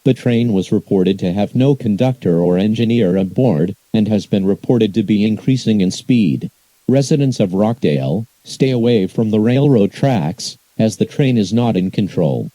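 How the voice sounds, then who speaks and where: A man's voice: fairly low pitch, expressive, moderate pace, dark tone, dry recording, low-quality recording. A man reading scripted emergency alerts as an announcer, in a formal, impersonal, synthetic-sounding delivery.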